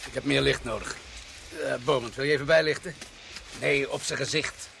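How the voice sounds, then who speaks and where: An actor playing a blunt, matter-of-fact village doctor in a radio drama, with a dry, clinical, outspoken manner. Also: male voice, medium pitch, moderate pace, vocal fry, fairly bright tone, a little hiss or hum. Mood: accusing.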